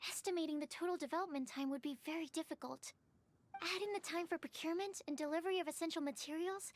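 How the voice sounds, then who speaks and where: Very high-voiced shy young scholar. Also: female voice. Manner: polite, hesitant, earnest. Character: shy, earnest young female alchemist, polite and studious. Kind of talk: anime-style game voice acting.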